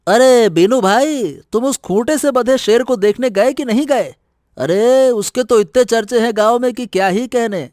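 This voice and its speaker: A man's voice: very high voice, moderate pace, darkish tone, no room sound, clean studio sound. A man playing earnest villagers and soldiers in a moral-story cartoon. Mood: amazed, gossiping. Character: village men and a brisk palace soldier. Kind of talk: animated Hindi moral-story dramatization.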